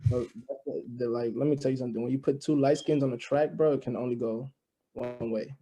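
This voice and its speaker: A young man in his early twenties, a musician guest on a podcast. His voice is very dark and mellow. He talks in a loose, slangy way and keeps checking in with the listener. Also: mid-range voice, steady tone, fast talker, rough recording. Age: 20s. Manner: slangy, loose, peppered with "you know what I'm saying". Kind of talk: casual interview chat.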